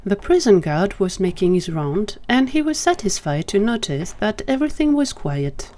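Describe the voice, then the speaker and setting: A woman reading a scripted story as its narrator, in a measured, dramatic storytelling manner. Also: mid-range voice, sing-song, dark tone.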